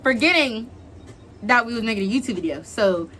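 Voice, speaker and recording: Animated young American woman, slightly high voice. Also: a little fast, slight background noise. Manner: animated, slangy, giggly. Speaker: vlog host. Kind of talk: casual storytime chat.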